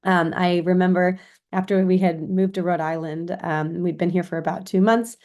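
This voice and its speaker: Female American lecturer, lowish pitch, informal explanatory delivery with frequent check-ins. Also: steady tone, normal speed, a little mellow. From Chicago, United States. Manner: explanatory, often checks with "right?". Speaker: university lecturer in education.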